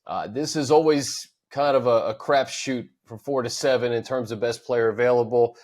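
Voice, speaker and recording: A man with a medium-pitched voice hosts a sports talk show. He sounds conversational and easygoing, chatting about football and setting up questions for his co-host. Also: moderate pace, a little mellow.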